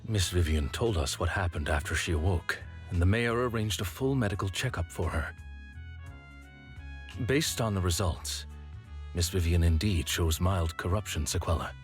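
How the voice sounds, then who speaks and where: A man's voice: deep voice, moderate pace, faint music behind, lightly acted. A man voicing a formal, composed butler figure in a game cutscene, polite and precise. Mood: measured.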